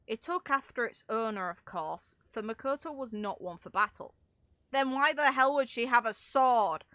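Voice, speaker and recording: Bright-voiced woman, wry and casual. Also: fairly high pitch, expressive, moderate pace, no room sound. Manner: wry, sarcastic asides, stumbles while reading. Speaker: streamer reading and reacting to game lore. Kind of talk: casual reading aloud with off-the-cuff commentary.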